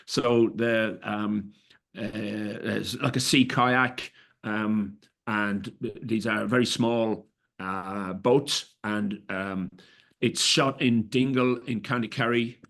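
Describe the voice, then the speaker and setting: Hesitant Irish man, low voice. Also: fairly even intonation, slow pace, a bit bright. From Ireland. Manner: hesitant, many fillers and restarts. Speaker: festival director. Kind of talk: interview.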